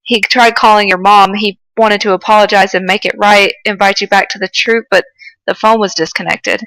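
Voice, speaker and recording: A woman's voice: mid-range voice, fairly even intonation, moderate pace, fairly bright tone, no room sound, lightly acted, poor audio. Woman reading as an earnest, remorseful male woodchuck. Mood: earnest regret. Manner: earnest, apologetic. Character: earnest, ashamed adult male woodchuck, former scout. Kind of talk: fan fiction read aloud.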